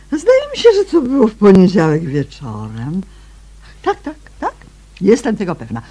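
A woman's voice: mid-range voice, wildly swooping pitch, moderate pace, mellow, breathy edge. An actress playing a chatty, flustered female neighbour who repeats herself in a radio play. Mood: flustered, welcoming. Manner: hesitant, repeats words, gossipy.

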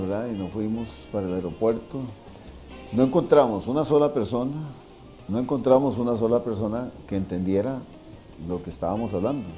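Mellow older Costa Rican man telling stories. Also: medium pitch. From Costa Rica. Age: 60s. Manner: repeats phrases for emphasis, tag questions. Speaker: interview guest, veteran sports journalist. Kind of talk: casual anecdote telling. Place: wine bar dining room, indoors.

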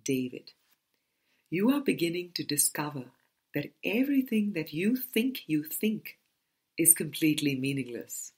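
A woman reading a spiritual book aloud as scripted narration, in a deliberate manner with pauses between phrases. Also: low-pitched, sing-song, darkish tone, husky. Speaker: audiobook reader.

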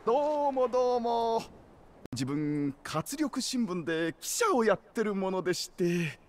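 A man's voice: high voice, very animated, measured pace. An actor voicing a chatty, ingratiating male newspaper reporter in a game cutscene. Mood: fawning. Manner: ingratiating, sing-song.

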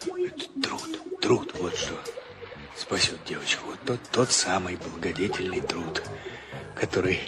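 Суетливого сентиментального капитана. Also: male voice, deep voice, wildly swooping pitch, a little slow, loud music behind the voice, heavily acted. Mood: wistful, hesitant. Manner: hesitant, throat-clearing, rambling. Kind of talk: Russian comedy radio play acting.

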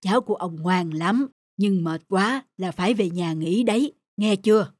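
A woman voicing a gentle, affectionate, patient old grandfather in a cartoon. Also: mid-range voice, normal speed, dry recording, close to an everyday voice. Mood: patient, caring. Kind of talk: cartoon voice acting. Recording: music playing behind the voice.

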